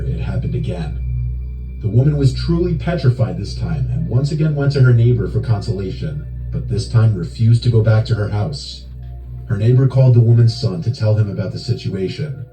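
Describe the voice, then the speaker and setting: A man reading scripted narration of creepy true stories. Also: lowish voice. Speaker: horror story narrator. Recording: roomy echo with music behind. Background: background music.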